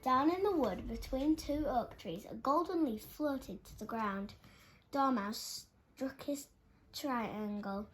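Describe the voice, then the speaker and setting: A woman's voice: high voice, lively intonation, takes it slowly. A woman reading a children's storybook aloud as its narrator. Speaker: storybook reader. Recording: clearly noisy background.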